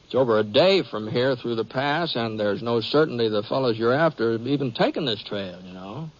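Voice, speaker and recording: An actor playing an easygoing, watchful western gunman in an old-time radio drama, with an unhurried folksy drawl. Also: medium pitch, expressive, close to an everyday voice. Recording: muffled, noisy vintage radio recording.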